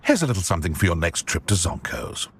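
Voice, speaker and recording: Husky, swooping, gloating British wizard schemer. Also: male voice, low voice, sing-song, fast talker, heavily acted. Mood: gloating, scheming. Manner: theatrical, gloating. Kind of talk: video game voice acting.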